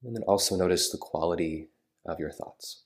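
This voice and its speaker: A man guiding a meditation in a soothing manner, with unhurried phrasing and gentle pauses. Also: sits low, breathy edge. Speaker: yoga nidra meditation guide. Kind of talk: guided meditation.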